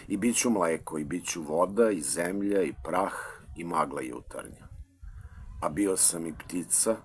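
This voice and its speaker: Deep-voiced Serbian man reading poems. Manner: hesitant with many uh fillers, then steady recitation. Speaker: school librarian and poet. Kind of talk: introducing and reading his own poems. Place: library.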